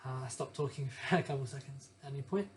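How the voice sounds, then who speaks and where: A young man with a hoarse voice from a lingering cough, methodically explaining code step by step and often correcting himself. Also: medium pitch, quick pace, a little hiss or hum. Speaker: programming tutor. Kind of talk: screen-share coding walkthrough.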